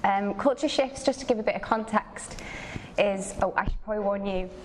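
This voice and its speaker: Woman, strained failing voice, informal welcome talk, medium pitch, faint room noise. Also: brisk. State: losing her voice. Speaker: gallery creative producer introducing a talk. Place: large gallery room with seated audience. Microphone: distant camera microphone. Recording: noisy distant room recording.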